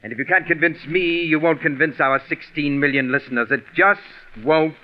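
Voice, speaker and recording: An actor playing an imperious, fault-finding show boss in a 1940s radio drama, curt and pompous. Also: male voice, on the high side, moderate pace, natural voice. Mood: dismissive. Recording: dull 1940s radio recording.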